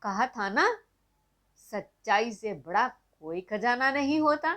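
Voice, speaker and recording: A woman voicing a wise, kind female sparrow in a children's story, speaking in a gentle, advising way. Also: on the high side, expressive, slow pace. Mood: gently advising.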